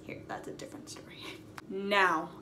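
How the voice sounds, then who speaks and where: A young woman telling a casual story in an expressive, slangy way, with dramatic emphasis and repetition. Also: mid-range voice, moderate pace. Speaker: storytime video host. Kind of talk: casual animated storytelling vlog. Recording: echoey room with audible background noise.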